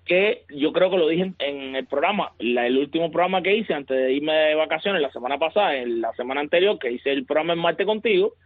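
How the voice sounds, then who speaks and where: A man's voice: on the high side, no room sound. Emphatic man on a tinny phone line. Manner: fast, emphatic, building excitement. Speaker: baseball analyst. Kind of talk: sports radio chat.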